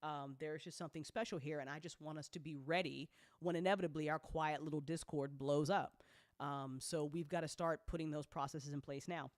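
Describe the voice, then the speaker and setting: A woman's voice: low-pitched, steady tone, fairly quick, no echo, clean studio sound. A woman hosting a casual update talk as an audio drama producer, speaking bluntly and informally with playful slang and free swearing.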